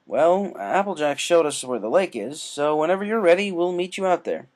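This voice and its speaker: Man acting an upbeat pony swim captain, raised pitch. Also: expressive, moderate pace, a little mellow, close to an everyday voice. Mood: friendly. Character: upbeat male earth pony swim team captain, friendly coach.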